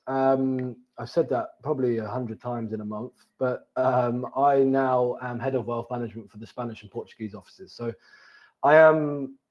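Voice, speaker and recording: A man's voice: medium pitch, a little mellow, highs cut off. A man with a southern English accent giving an informal webinar presentation in a conversational way, now and then repeating words as he goes. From Cambridge, England. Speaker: wealth manager presenting as guest. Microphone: online webinar call.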